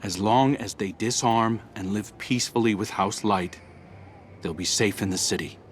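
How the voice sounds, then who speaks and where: A man acts out a steadfast hunter leader in a video game. He negotiates firmly in a lowish voice over soft music. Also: normal speed, close to an everyday voice. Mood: weary, firm.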